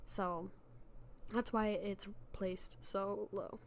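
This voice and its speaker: A woman's voice: medium pitch, steady tone, drawn-out words, gravelly. Chatty young woman with a sick, worn voice. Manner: chatty, self-deprecating, many fillers and restarts. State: sick, losing her voice. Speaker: podcast host. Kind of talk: casual solo podcast chat.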